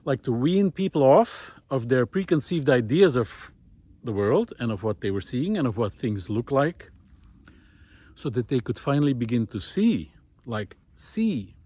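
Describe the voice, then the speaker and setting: A man giving an unscripted, reflective monologue while out walking, thoughtful and hesitant, pausing often as he finds his words. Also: mid-range voice, sing-song, dark tone, no echo. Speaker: host of a walking audio diary, former teacher. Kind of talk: unscripted reflective spoken monologue recorded on a walk. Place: outdoors, walking.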